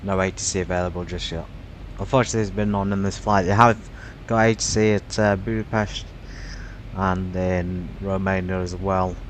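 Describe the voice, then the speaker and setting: A man's voice: deep voice, fairly even intonation, moderate pace, a little hiss or hum, poor audio. A man giving casual, musing commentary while streaming a flight simulator, with frequent fillers.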